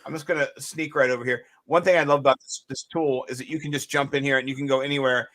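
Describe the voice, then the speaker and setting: A man with a raspy voice co-hosting a casual fantasy sports show, joking around and talking in loose restarts. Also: medium pitch, quick pace. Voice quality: raspy today. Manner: animated, jokey, rambling with restarts. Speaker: co-host.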